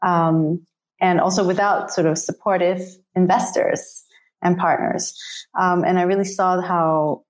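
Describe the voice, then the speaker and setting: Female interview guest from Singapore, slightly low voice, reflective, halting conversational delivery. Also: steady tone. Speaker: interview guest, coach and investor.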